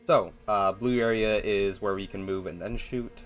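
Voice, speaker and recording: A man giving casual, rambling gameplay commentary in a flat, monotone voice, with a self-deprecating manner. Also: lowish voice, normal speed, soft background music. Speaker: gaming video host.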